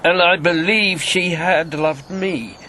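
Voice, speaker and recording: Slow, haunted elderly ex-agent. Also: male voice, a little high, bright, forceful tone, slight background noise. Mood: grieving, intense. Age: 70s. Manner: slow, weighty reminiscing with bitter outbursts. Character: embittered, grieving elderly former wartime secret agent. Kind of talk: radio drama acting.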